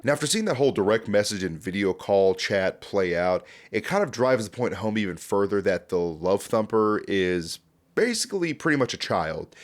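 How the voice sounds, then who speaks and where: Low-voiced man giving casual commentary. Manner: speculative, enthusiastic, conversational. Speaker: horror series commentator and host.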